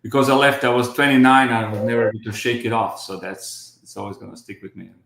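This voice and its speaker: A man's voice: mid-range voice, fairly even intonation, fast, gravelly, fairly bright tone, roomy sound. A man in his fifties from Croatia with a Croatian accent, a guest on a video call who talks in a rambling way with many restarts. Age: 50s. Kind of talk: casual livestream chat.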